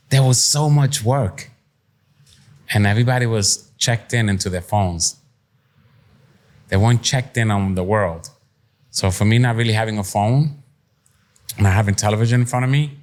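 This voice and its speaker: A man's voice: fairly low pitch, normal speed, long pauses, a little mellow. A middle-aged man from Puerto Rico talking candidly as an interview guest, plain-spoken, streetwise and reflective. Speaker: podcast guest, entrepreneur. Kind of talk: candid conversational interview, personal storytelling.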